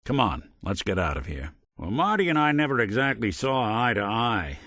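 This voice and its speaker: A low-pitched American robot gumshoe, wry and world-weary but decent at heart. His streetwise noir delivery swings widely in pitch. It is a close, dry game recording. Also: big pitch swings, moderate pace, fairly bright tone, no echo. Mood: wry, knowing. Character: world-weary, wry, decent robot private detective, male, middle-aged. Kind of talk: video game character voice lines, hard-boiled noir detective.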